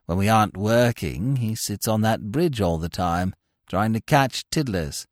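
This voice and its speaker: A man reading aloud, voicing a lovesick young man who speaks softly, slowly and wistfully. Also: animated, dry recording, clean studio sound. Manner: low, hushed, slow and wistful.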